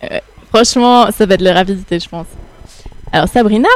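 A woman's voice: mid-range voice, brisk. A woman running a playful music quiz, reading lyrics aloud and laughing often. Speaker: guest running a quiz game.

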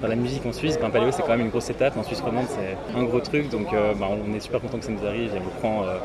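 A man from a band chatting casually in an interview outdoors at a festival, quick and relaxed with many fillers. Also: fairly low pitch. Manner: relaxed, talks fast with fillers. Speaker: band member. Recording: loud festival music and crowd behind. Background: loud music and crowd.

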